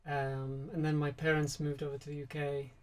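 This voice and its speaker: A man from Spain talks as a musician guest in an unhurried interview. His delivery stays level and flat, with little rise or fall, and he pauses often to hunt for words. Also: on the high side. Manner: soft-spoken, many fillers, flat and even delivery. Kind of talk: relaxed interview conversation.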